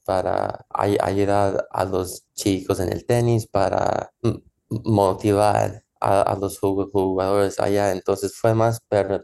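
Stammering man with a gravelly low voice. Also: darkish tone. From United States. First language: English. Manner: halting, stammers and repeats syllables often. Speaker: guest, professional tennis player. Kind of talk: casual interview answers.

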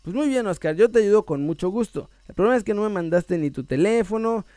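A man's voice: sits high, expressive, a little fast, dark tone, no echo, clean studio sound. A man with a Mexican accent hosting a sports news channel, talking casually and playfully to viewers as he reads out messages, stretching words for emphasis. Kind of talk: casual chat to viewers, reading out messages and shout-outs.

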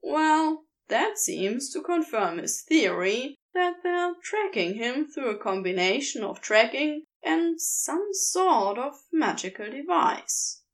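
Woman narrating a story, slow and expressive, goes up and down a lot. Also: high-pitched. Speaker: podfic reader. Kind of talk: fanfiction audiobook narration.

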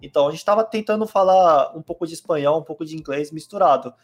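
A man chatting calmly on a podcast, explaining card game rules with many fillers. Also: fairly high pitch, quick pace. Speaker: card game player guest. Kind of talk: casual podcast chat.

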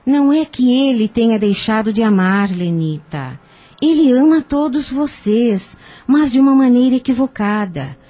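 An actress playing a wise, patient older aunt in a radio soap, giving solemn, measured spiritual counsel. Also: female voice, fairly high pitch, expressive, dark tone. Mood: solemn, consoling. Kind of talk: radio soap opera acting. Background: music.

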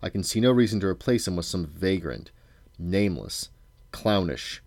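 A man voicing a grim, joyless old king in a storybook, speaking in a flat, curt, imperious manner. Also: low voice, moderate pace, no echo. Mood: cold.

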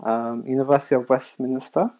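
A man in his thirties with a London accent, a podcast guest chatting casually, soft-spoken and hesitant with many pauses. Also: medium pitch, level tone. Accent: London English. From South London, England. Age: 30s. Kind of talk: casual chat.

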